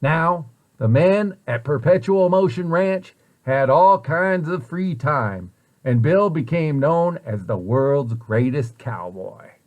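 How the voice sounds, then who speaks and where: Higher-voiced man reading a storybook aloud. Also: expressive, slow pace, a little mellow. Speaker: site director reading a picture book to children.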